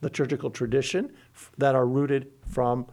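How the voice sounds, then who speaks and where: A middle-aged man from Lebanon, a bishop, explaining church teaching in an interview with earnest, halting restarts. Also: mid-range voice, little pitch movement, creaky, darkish tone, slight background noise.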